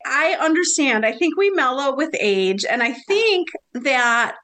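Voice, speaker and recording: A woman guest on a podcast, expressive and reflective, quick with exclamations as she talks about her marriage and business. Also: high-pitched. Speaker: podcast guest, blogger and mother. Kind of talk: casual podcast interview.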